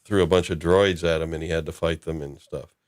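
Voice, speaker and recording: A man hosting a casual discussion, recapping in a halting, loosely improvised way. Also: extremely low pitch, fairly even intonation, dry recording, clean studio sound. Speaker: host of a discussion show.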